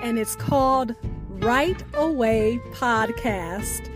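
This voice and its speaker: A woman with a high voice hosts a radio show, reading the closing announcements at an unhurried pace. She enunciates details carefully while guitar music plays behind her. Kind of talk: scripted radio show outro over music.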